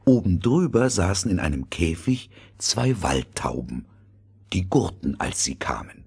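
A man's voice: low-pitched, big pitch swings, unhurried, creaky, darkish tone, slightly rough. A man narrating a scripted fairy tale as the storyteller of an audio play.

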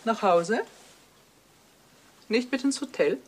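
Bitter, suspicious wife, swinging pitch. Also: female voice, lively intonation, takes it slowly, a little hiss or hum. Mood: scornful, probing. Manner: pointed, sarcastic questioning. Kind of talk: German crime radio play acting.

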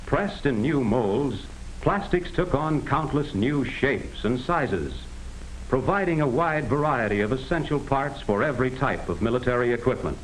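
Animated 1940s American film narrator, male. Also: mid-range voice. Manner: measured, explanatory, addressing the listener directly. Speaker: narrator of an educational industrial film. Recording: noisy vintage 1940s film soundtrack.

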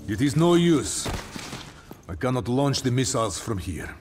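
A man's voice: mid-range voice, big pitch swings, moderate pace, over music, close to an everyday voice. An actor voices a hardened, wry male operative in a video game. He speaks in a middle register with short, formal, commanding phrases. A strong score runs underneath. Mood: terse, wry. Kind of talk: video game cutscene acting.

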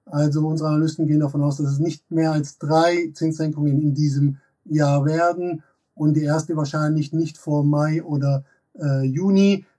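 A man in his thirties giving analytical market commentary as a guest expert, pausing often with fillers and restarts. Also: fairly high pitch, steady tone, warm tone, recorded from a distance. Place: office with glass wall.

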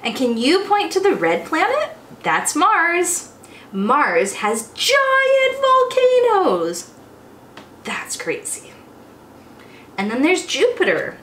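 A young woman hosting storytime as a teacher, reading aloud to small children in an expressive, exclamatory way. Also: very high voice, takes it slowly. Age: young adult. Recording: roomy echo, faint background noise.

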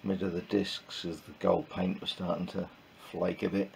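A man giving informal hands-on workshop commentary in a halting, matter-of-fact way with clipped sentences. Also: low voice, flat delivery, vocal fry, darkish tone. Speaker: presenter of a motorbike build vlog. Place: small wooden shed workshop. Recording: noisy workshop recording with background ambience.

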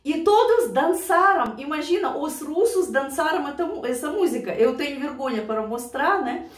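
A woman's voice: sits high, animated, moderate pace, far from the mic. A woman from Siberia with a Russian accent, chatting to her viewers in an emotional, laughing way about old memories. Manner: emotional, laughs often, addresses viewers fondly. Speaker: vlogger reacting to songs. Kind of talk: casual reminiscing to viewers. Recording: roomy, voice away from mic.

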